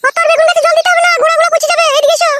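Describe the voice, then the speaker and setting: A woman's voice: very high voice, flat delivery, racing. A woman voicing a fierce, bossy young village wife in a comedy cartoon, scolding in a rural West Bengal accent. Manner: scolding, bossy, coarse-tongued. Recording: loud noise behind the voice.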